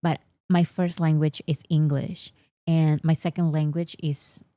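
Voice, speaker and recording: A young woman whose first language is Spanish chats casually with her audience in a low, mellow voice. She teases and brags in jest, laughing often, recorded dry and close. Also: flat delivery, measured pace, no echo. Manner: playful, teasing, mock-boastful, laughs often. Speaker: host. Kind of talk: casual livestream chat reading comments.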